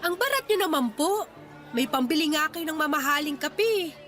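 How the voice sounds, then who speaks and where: A woman playing a feisty young market fish vendor in a Tagalog radio drama, persuasive and quick to talk back. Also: high-pitched, expressive, bright, forceful tone, soft background music. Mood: indignant.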